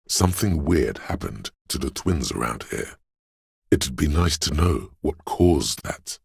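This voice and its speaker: A man voicing an erudite inner voice full of trivia in a video game, deep, gravelly and husky, narrating deliberately with dry pedantry. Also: no echo, exaggerated voice. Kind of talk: measured game narration addressing the listener.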